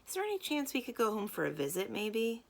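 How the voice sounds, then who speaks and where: Woman reading as an earnest outspoken young girl, raised pitch. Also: sing-song, fast, creaky, heavily acted. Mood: pleading.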